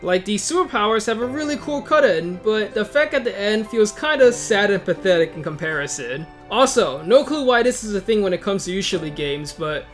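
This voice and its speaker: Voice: mid-range voice, expressive, fairly quick, hardly any pauses, faint music behind. A video host reading a scripted but casual review, informal and opinionated, with wry asides.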